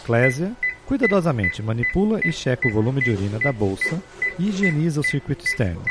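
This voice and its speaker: A man's voice: medium pitch, big pitch swings, fairly quick. A man with a Brazilian accent, hosting a podcast and narrating a scripted story as a nursing professor. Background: music.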